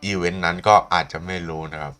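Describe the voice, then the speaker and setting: A man's voice: low-pitched, fairly even intonation, rapid-fire, fairly bright tone, smooth, soft background music. A man with a Thai accent, a native Thai speaker, giving playful, casual live commentary as a game streamer and switching languages.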